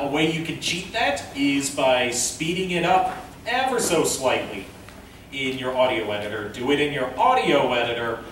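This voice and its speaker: A man gives a casual how-to panel talk, explaining things step by step. He is heard from a distance in a very reverberant room, with faint noise behind him. His voice sits at an ordinary middle pitch. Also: fairly bright tone. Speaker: panel presenter. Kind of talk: instructional convention panel talk. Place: indoor convention panel room, recorded from a distance.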